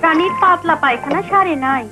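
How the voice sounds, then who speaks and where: A woman with a Bangladeshi accent voicing a caring but sharp-tongued village mother in a children's cartoon. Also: very high voice, brisk, loud music behind the voice. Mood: relieved.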